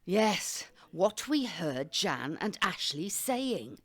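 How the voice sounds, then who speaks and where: A woman's voice: on the low side, very animated, drawn-out words, no echo, heavily acted. An actress playing a capable, impatient retired woman who takes charge in an audio comedy, speaking. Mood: impatient, bossy. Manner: decisive, impatient, commanding. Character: bossy, capable retired woman, sharp-witted care-home ringleader. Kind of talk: audio comedy drama acting.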